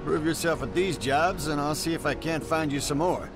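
A man acting a casual American local guard in a game. His voice is a little high and wry. Music plays behind him. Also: normal speed, natural voice. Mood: friendly, wry. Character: easygoing neighborhood watch man sizing up a recruit. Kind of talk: video game cutscene acting.

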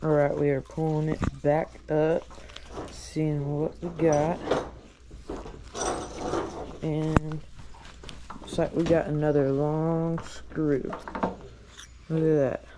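A man narrating his own outing in a relaxed, chatty way, filmed outdoors by a creek on a chest-mounted action camera. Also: on the high side, slow, long pauses, background noise. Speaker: vlogger. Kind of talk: casual first-person commentary.

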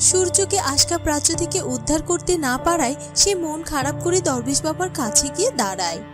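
A woman's voice: sits high, animated, fairly quick, music in the background. A woman narrating a scripted fairy-tale cartoon as its storyteller.

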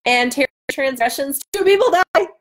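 A woman in her thirties hosting a casual live stream from home, loud, excitable and bantering as she answers comments. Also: high-pitched, normal speed. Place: room at home by a large window.

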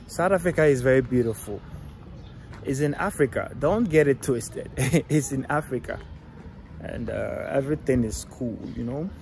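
A man's voice: medium pitch, low-quality recording. A young man talking casually to viewers while walking a town street, filming himself on a phone at arm's length and often asking them to subscribe. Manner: chatty, repeats shout-outs and asks viewers to subscribe. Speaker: travel vlogger. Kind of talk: casual walking-tour vlog. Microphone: phone camera held at arm's length. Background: traffic and street noise.

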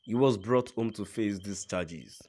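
A man's voice: on the low side, a little slow, a little mellow. A man narrating a scripted news report in a formal read-aloud manner.

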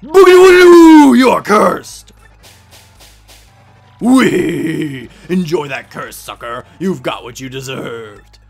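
A man's voice: fairly high pitch, very animated, vocal fry, soft background music, heavily acted. A man voicing a gleefully wicked demon from a video game, gloating theatrically as a curse-casting villain in a fan dub. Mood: triumphant shouting. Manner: theatrical, gloating, drawn-out cackles and shouts. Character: gleefully wicked cursing demon trapped in a box.

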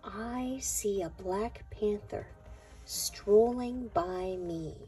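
A woman reading a picture book aloud to young children in a deliberate, sing-song way. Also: medium pitch, dark tone, faint music behind. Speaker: children's read-aloud narrator.

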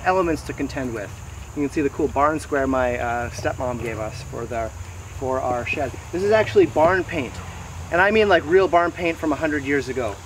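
A man in his thirties giving a casual, explanatory walking tour to camera, pausing now and then mid-sentence. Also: fairly high pitch, fairly bright tone. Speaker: host giving a garden tour. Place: outdoors in a wooded backyard garden. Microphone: camera mic several meters away. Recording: distant camera mic, noisy outdoor ambience. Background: insects and outdoor noise.

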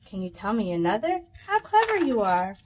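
A woman's voice: medium pitch, very animated, normal speed, slight background noise, natural voice. A woman voicing an enthusiastic skinny man in a picture book, exclaiming with gushing delight.

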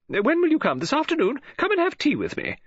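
A man voicing a chatty, excitable middle-aged woman novelist who talks in a gushing, coaxing way. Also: fairly quick, no room sound, heavily acted. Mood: fussing. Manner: gushing, coaxing, high breathy falsetto. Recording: dull lo-fi audiobook recording.

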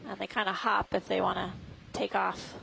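A woman scientist giving explanatory live commentary on a deep-sea dive. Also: little pitch movement, normal speed, gravelly, pushed and bright, low-quality recording. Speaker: expedition scientist narrating dive. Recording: faint background noise.

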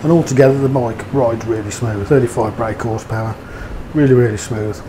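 A man's voice: medium pitch, fairly quick, noisy background. A man giving a casual, rambling motorbike review, with fillers and hesitations. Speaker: motovlogger.

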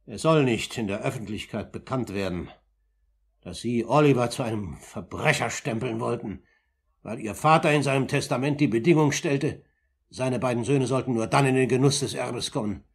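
An actor playing a composed older uncle in a 1950s German radio play, speaking formally and deliberately with firm, accusing emphasis in a husky voice. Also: male voice, mid-range voice. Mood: firm, commanding.

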